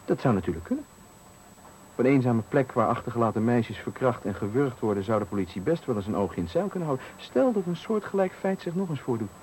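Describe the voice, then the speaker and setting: A male actor plays a menacing stranger in a 1980 radio thriller, with a Netherlands Dutch accent. He is deliberate and mock-polite, needling with animated swings of a mid-pitched voice. The recording carries faint noise. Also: close to an everyday voice, poor audio. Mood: mock-polite menace. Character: menacing, taunting male stranger cornering a man at night.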